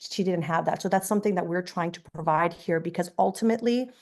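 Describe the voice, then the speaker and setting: A woman's voice: lowish voice, darkish tone. Earnest, impassioned woman on a panel. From Afghanistan. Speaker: non-profit executive director. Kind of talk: panel introduction, personal story.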